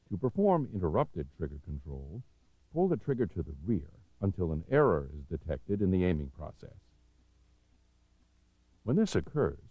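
A deep-voiced American man narrates a training film in a measured, authoritative way. His pitch moves in lively steps as he enunciates each instruction. The sound is slightly dull, like a 1990s videotape soundtrack. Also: husky, no room sound.

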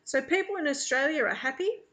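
A woman voicing a naive, excited young boy from a storybook, full of earnest questions and hope. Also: high voice, fairly quick, voice pushed up. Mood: excited, eager. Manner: eager, earnest questioning with gasps. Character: excited, naive young refugee boy, hopeful and wide-eyed.

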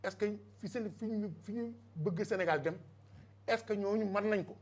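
A man's voice: high-pitched, normal speed. An older Senegalese man speaking emphatically as a guest in a televised political debate, on a lapel mic in a studio. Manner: emphatic, mixing Wolof and French. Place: TV studio set.

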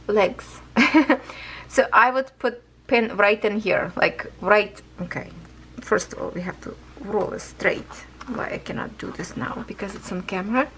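Hoarse woman rambling while she stitches, chatty and loose, medium voice, talks real slow. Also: mid-range voice, fairly bright tone, slight background noise, rough recording. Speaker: craft channel host. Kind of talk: casual chat while stitching beads. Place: at home, seated with canvas on lap.

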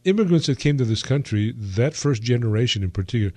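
A man hosting a radio talk show, mid-pitched and unhurried. He hesitates often and restarts his sentences. The studio sound is dry and close, with no room echo. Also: expressive, darkish tone. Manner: hesitant, filler-heavy, restarts. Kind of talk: radio interview.

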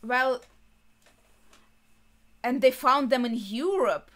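A woman's voice: sits high, slow, sharp tone. A young adult woman giving casual reaction commentary as a video host. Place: small bedroom, indoors.

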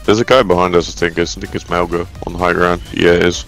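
A man's voice: sits low, flat delivery, fast, a bit bright. A man gamer in casual, joking and sweary chat with friends over voice chat. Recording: music mixed over voice chat. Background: music.

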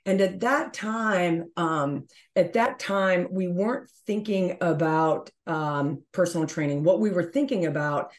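A woman's voice: fairly low pitch, a little slow, few pauses. A middle-aged woman giving explanatory answers as an interview guest on a video call. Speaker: interview guest, gym owner.

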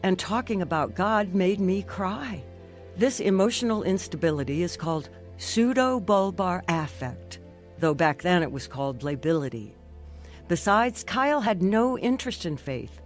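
A woman's voice: on the low side, animated, moderate pace, faint music behind, lightly acted. An actress playing an earnest, devout woman who tells her own emotional life story in a radio drama. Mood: earnest, reflective. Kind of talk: first-person narration in a radio drama.

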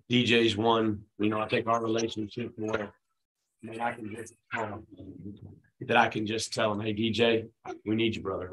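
A man in his forties, a head basketball coach, answering questions at a postgame press conference in a relaxed, conversational way with many fillers, speaking into a table microphone. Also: fairly low pitch, poor audio. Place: press room at a team table, his children beside him.

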